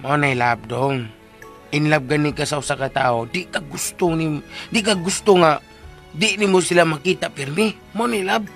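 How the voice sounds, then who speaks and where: A brash, teasing buddy in a radio drama. His voice is fairly high and sharply bright, coaxing and needling with sudden exclamations, with faint music underneath. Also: normal speed. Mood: patient, coaxing. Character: brash, teasing male buddy explaining love to slow friend.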